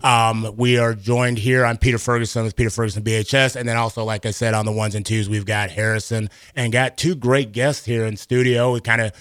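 Even-voiced man hosting live radio. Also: medium pitch, brisk, few pauses, clean tone, no room sound. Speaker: radio show host. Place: radio studio. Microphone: close studio mic.

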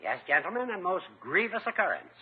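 An actor playing a pompous, sympathetic older small-town judge in a 1950s radio comedy, speaking in flowery, formal language. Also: male voice, high-pitched, very animated, fairly quick, a little hiss or hum. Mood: grave, indignant. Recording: muffled, narrow-band 1950s radio recording.

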